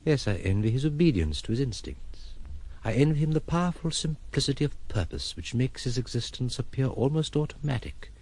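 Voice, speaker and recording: An actor playing a philosophical, reclusive gentleman in a radio drama, formal and reflective, tender and wry. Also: mid-range voice, sing-song, brisk. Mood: wry, musing.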